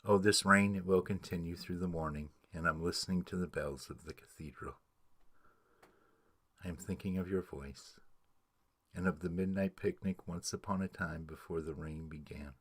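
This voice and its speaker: A man with a low, husky voice reads narrative verse as a dramatic piece. His delivery is rhythmic and story-like, recorded close and dry. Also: steady tone, moderate pace, a bit bright, no room sound.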